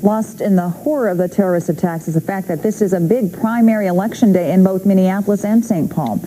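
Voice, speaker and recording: A woman's voice: medium pitch, quick pace, warm tone, slight background noise, low-quality recording. An American woman anchoring a TV newscast with scripted delivery. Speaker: news anchor.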